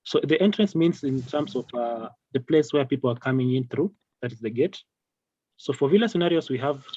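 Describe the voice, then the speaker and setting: A man giving an informal product training over slides in a halting, repetitive, hesitant way. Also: medium pitch, warm tone, no room sound, highs cut off. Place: online video call with screen-shared slides.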